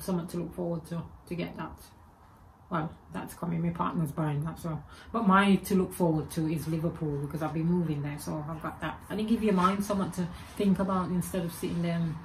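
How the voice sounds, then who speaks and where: A woman's voice: low-pitched, slight background noise, poor audio. A woman in her forties with a Birmingham accent carrying Jamaican and Egyptian traces, chatting to camera at home in a rambling, self-correcting way. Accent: Birmingham English with Jamaican and Egyptian traces. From England. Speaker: vlogger. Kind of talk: casual chat to camera. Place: home room with spooky shelves, indoors. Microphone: webcam or phone camera at desk.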